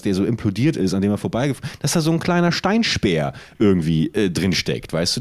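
A man's voice: mid-range voice, darkish tone. A man co-hosting a casual comedy podcast, rambling in slang with mock indignation. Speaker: podcast co-host.

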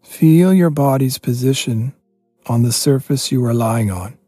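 Male meditation guide, mellow and slightly husky, gentle unhurried instructions, dry close sound over faint music. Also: medium pitch, no room sound. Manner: slow, gentle, spacious instructions with pauses. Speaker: yoga nidra meditation teacher.

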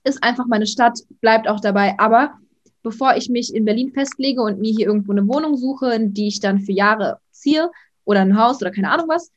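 Outgoing young woman, fairly high voice. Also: highs cut off. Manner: outgoing, talks in quick bursts with many fillers. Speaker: influencer guest. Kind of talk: casual interview.

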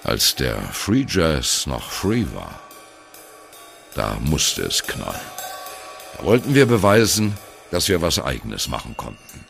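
An aging free jazz rebel with an extremely low, gravelly voice. He is gruff and irreverent, talking in blunt, wry, wistful monologue as in a radio play. His delivery swings widely in pitch, with jazz music faintly behind him. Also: huge pitch swings, slow pace, fairly bright tone, exaggerated voice. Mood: wry, proud. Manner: blunt, colloquial, wry, reflective. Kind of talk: audio drama monologue.

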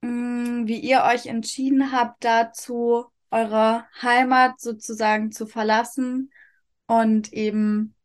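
A woman hosting a podcast, reading a scripted introduction and then gently interviewing her guest, rephrasing her answers. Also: fairly high pitch, flat delivery, slow. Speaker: podcast host.

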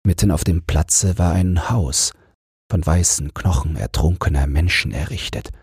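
A man reading a fairy tale as a calm bedtime audiobook narrator. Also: low voice, measured pace, slightly rough, dry recording, clean studio sound.

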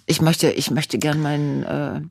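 A woman's voice: low-pitched, fairly even intonation, slow pace, gravelly, a little mellow, no echo, clean studio sound. A woman in her fifties chatting playfully, laughing often as she reads horoscopes aloud. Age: 50s. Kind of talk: casual chat.

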